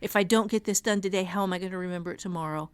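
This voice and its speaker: A woman's voice: lowish voice, racing, clean tone, no room sound. A woman co-hosting a podcast, chatting casually in a candid, emphatic, self-deprecating way. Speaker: author and teacher, podcast co-host. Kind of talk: casual chat.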